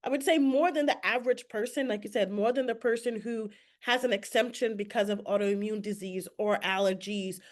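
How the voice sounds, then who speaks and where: A woman's voice: mid-range voice, brisk, few pauses. A woman moderating a webinar, asking panelists follow-up questions, stumbling a little over words.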